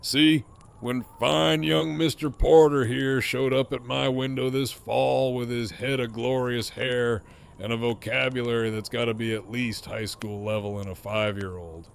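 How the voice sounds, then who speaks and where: A man playing a cocky, teasing, foul-mouthed prisoner in an audio drama, with a smug, sardonic drawl and a gravelly voice. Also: medium pitch, a little hiss or hum. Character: cocky, teasing, profane male prisoner with a grin.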